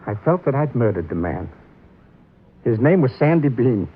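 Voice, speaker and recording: Husky, earnest old man. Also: mid-range voice, big pitch swings. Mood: remorseful. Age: older. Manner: earnest, halting, pleading. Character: gentle, remorseful old man, earnest ex-horseman janitor. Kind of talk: 1950s radio drama acting. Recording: tinny, narrow-band vintage radio recording.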